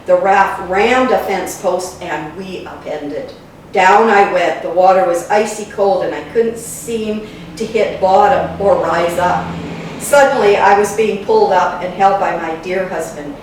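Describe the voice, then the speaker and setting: A woman reading local history memoirs and letters aloud, in measured short phrases like storybook narration. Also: on the low side. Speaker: reader of a local history book. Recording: very echoey room, distant mic, faint noise.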